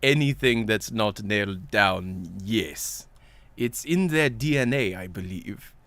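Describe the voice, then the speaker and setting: A man voicing a suave, unruffled male spy in an understated, deliberate way. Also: lowish voice, lively intonation, a bit bright, no room sound, heavily acted. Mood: dry, unruffled.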